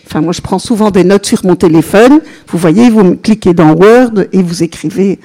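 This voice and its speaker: An older woman with a Belgian French accent, a religious sister giving an informal, chatty teaching to a seated group and often pausing to address them directly. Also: medium pitch, warm tone. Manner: chatty, unhurried, peppered with "voilà", engages listeners.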